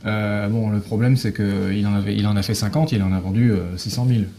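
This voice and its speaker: A man's voice: lowish voice, fairly even intonation, a little fast, dark tone, a little hiss or hum. A man in his thirties, an interview guest explaining his work casually in hesitant, broken-up phrases. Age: 30s. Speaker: guest, Japanese-French translator.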